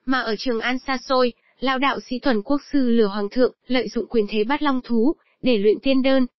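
A woman delivers scripted promotional narration in a fairly high voice. The sound is dry, with little room, and slightly muffled on top. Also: fairly even intonation, a little fast, no echo. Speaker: channel narrator introducing an animated series.

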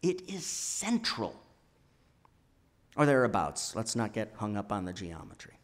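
A man in his sixties reading a sermon from a script at a church lectern, with deliberate, emphatic phrasing and pauses. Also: on the low side, big pitch swings. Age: 60s. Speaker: speaker presenting a sermon at a church. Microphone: gooseneck lectern microphone.

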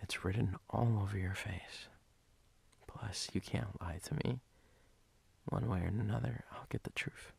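A man playing a sleepy, teasing, doting boyfriend in an ASMR role-play, husky and breathy, often dropping to a whisper in an intimate, halting way. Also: on the low side, heavily acted.

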